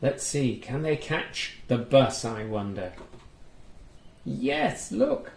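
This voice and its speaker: Slow-paced male storyteller in an echoey room. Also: medium pitch, huge pitch swings, gravelly. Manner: simple, gently guiding, talks to the listener. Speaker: children's storyteller. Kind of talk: storybook read-aloud for young children.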